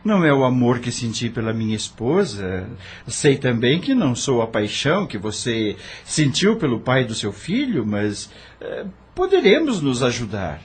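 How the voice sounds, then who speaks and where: Actor as an earnest, expressive widowed gentleman. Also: mid-range voice, moderate pace, husky. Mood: earnest, tender. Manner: formal, earnest. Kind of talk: audio drama acting.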